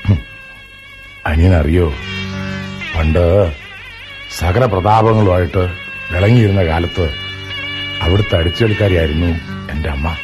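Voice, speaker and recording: An old Malayali landlord in a radio drama. His voice sits low, and he speaks with measured dignity, like someone used to telling his life story. Faint music accompanies the recording. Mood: reflective. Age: older.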